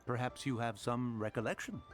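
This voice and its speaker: An actor playing a dignified mountain immortal in human guise, speaking in formal, archaic phrases for a video game. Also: male voice, mid-range voice, fairly quick, faint music behind, close to an everyday voice. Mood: reminiscing.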